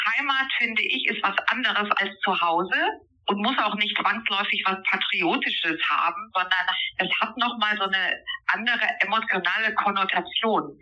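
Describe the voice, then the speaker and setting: A woman from eastern Germany, an author answering interview questions thoughtfully, pausing and restarting as she searches for words. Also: medium pitch, bright, forceful tone, highs cut off.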